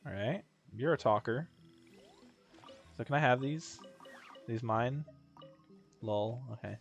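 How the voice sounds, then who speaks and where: A man's voice: mid-range voice, slow pace, highs cut off. Slangy, jokey male game streamer. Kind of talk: casual gameplay commentary. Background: game music.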